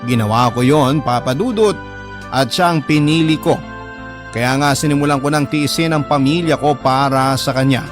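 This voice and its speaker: A man's voice: mid-range voice, normal speed. A man with a Filipino accent reading a first-person letter as dramatic narration. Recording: clear music bed under narration.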